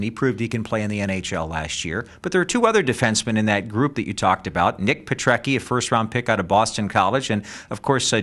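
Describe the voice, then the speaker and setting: A man's voice: fairly low pitch, fairly bright tone. A man with a polished announcer's delivery, conducting a sports interview as a radio broadcaster.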